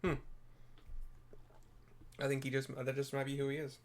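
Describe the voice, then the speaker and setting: A man's voice: mid-range voice, monotone, moderate pace. Man musing through casual game commentary. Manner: musing, thinking aloud. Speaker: game streamer narrating a playthrough.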